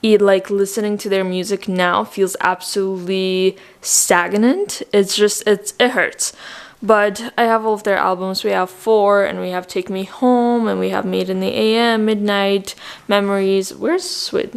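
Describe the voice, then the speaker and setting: A woman's voice: mid-range voice, fairly even intonation, a little slow, gravelly. A woman in her twenties from Azerbaijan, whose first language is Russian, talking casually and reflectively in a vlog with many "like" fillers. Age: 20s. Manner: chatty, frequent "like" fillers, reflective. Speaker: vlogger.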